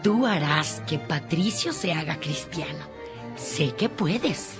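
A woman playing a gentle, dignified mother who speaks affectionately and instructively in a radio drama. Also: deep voice, animated, slow, over music. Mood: gentle, guiding. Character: gentle, dignified mother guiding her daughter.

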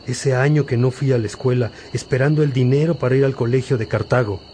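A man's voice: medium pitch, fairly even intonation, dark tone, a little hiss or hum, lightly acted. Earnest fatherly priest, played by a man, night noises behind. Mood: firm, reflective. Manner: earnest, rhetorical, deliberate. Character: older Saint Augustine, reflective, fatherly priest dictating confessions. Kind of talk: radio drama acting. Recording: insect-like night ambience behind voice.

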